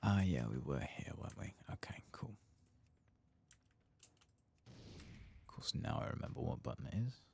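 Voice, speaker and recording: A man softly narrating his video game in ASMR style, tired and casual, muttering to himself and drifting into whispers. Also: sits low, sing-song, measured pace, creaky, pauses often, slightly rough. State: tired, late at night. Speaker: host of a game playthrough. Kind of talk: soft-spoken ASMR gameplay commentary. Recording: faint background noise.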